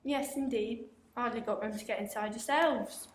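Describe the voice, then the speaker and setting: A woman's voice: on the high side, lightly acted. A young actress playing a polite, dry-witted policewoman inspector in a school radio play. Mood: polite official. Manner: polite, measured and official. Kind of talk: amateur radio play acting. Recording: roomy room echo, faint hiss.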